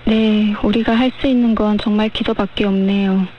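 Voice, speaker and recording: A woman's voice: mid-range voice, fairly even intonation, moderate pace. Woman on dull, noisy shortwave radio. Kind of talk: measured radio discussion.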